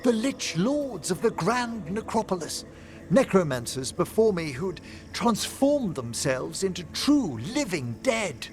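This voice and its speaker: An actor playing a refined older necromancer scholar in a video game, gentle and wistful, with formal, eloquent, measured phrasing. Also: male voice, sits high, big pitch swings, music in the background. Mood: earnest, reflective. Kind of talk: video game dialogue acting.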